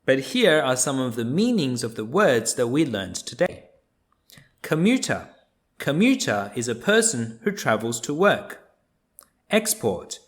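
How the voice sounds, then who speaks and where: A man reading a children's nonfiction book aloud, explaining things simply and deliberately for young listeners. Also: medium pitch, big pitch swings.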